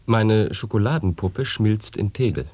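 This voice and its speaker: Low-voiced man with clipped verse lines. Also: expressive, unhurried, darkish tone, no room sound. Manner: terse, clipped single-word interjections. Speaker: reader in a radio play. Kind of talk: recitation of experimental sound poetry.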